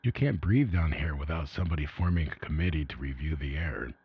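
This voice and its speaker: A man's voice: extremely low pitch, expressive, a little fast, slightly rough, heavily acted. A man voicing a weary, exasperated vault resident reading his journal in a radio play, despairing and emphatic, through a retro AM radio filter. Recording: dull retro AM radio sound.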